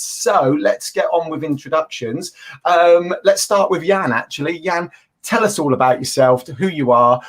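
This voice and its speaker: A man's voice: fairly high pitch, a bit bright. A man hosting a live stream who talks in a bubbly, enthusiastic way, with frequent stumbling restarts. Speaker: host.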